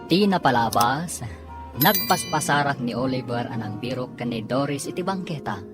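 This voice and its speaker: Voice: medium pitch, animated, moderate pace, music in the background. A radio drama announcer narrating from a script in a dramatic, scripted style.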